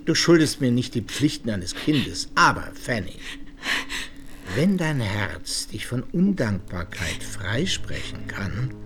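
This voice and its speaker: An actor playing a stern, indignant middle-aged uncle in a German radio drama, speaking formally and reproachfully with weighty pauses. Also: male voice, mid-range voice, sing-song, a bit bright, slight background noise, exaggerated voice. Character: stern, indignant uncle, patriarch of a genteel household.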